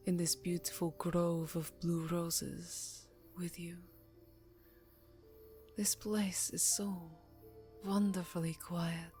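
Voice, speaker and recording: An aristocratic vampire countess acted by a woman speaks in a fairly low, soft and breathy voice, very close to the microphone. Her pace is unhurried and languid, formal yet seductive, often slipping toward a whisper. It is an intimate ASMR roleplay with clear music underneath.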